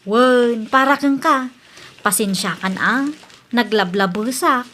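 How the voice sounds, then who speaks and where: An actress with an Ilocano Filipino accent playing a proud, quarrelsome young daughter in a comedy radio drama. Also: female voice, on the high side, slow, pushed and bright, slight background noise. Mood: humbled, apologetic.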